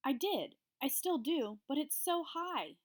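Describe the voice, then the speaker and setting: An eager child from a storybook, read by a woman teacher, speaking with an American accent. The voice is lifted well up in pitch and pushed out with emphasis. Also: expressive, measured pace. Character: eager child narrator on a family trip.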